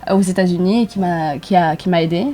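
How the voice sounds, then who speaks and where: Soft-spoken young woman, hesitant. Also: lowish voice, fairly even intonation. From Vietnam. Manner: hesitant, many filler pauses. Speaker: guest, author. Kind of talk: casual conversational interview.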